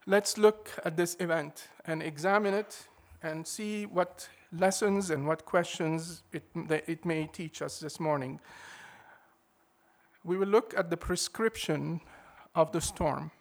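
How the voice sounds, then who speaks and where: A man preaching a sermon in a measured, emphatic way, leaning hard on key words. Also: fairly high pitch, vocal fry.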